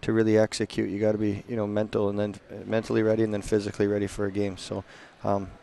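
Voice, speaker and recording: A man in his thirties, a hockey coach giving a halting postgame interview at an indoor rink on a headset mic. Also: on the low side, a little hiss or hum. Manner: flat, gravelly, halting with many restarts.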